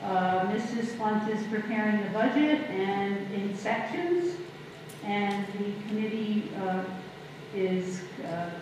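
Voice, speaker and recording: A woman board member giving committee reports at a public meeting, steady with some fillers. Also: medium pitch, background noise. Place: large public meeting room. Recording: echoey hall from far off.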